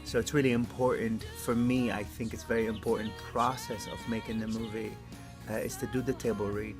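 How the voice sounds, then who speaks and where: An earnest man speaking as an indie film director, thinking aloud with a halting delivery. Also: fairly low pitch, flat delivery. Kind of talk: interview answers and addressing a group. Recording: background guitar music. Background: music.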